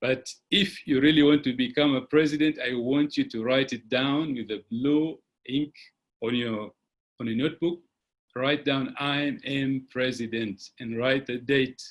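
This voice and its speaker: A man hosting an online webinar, giving motivational advice with frequent "uh" pauses, recorded on a computer mic. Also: medium pitch, fairly even intonation. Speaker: webinar host.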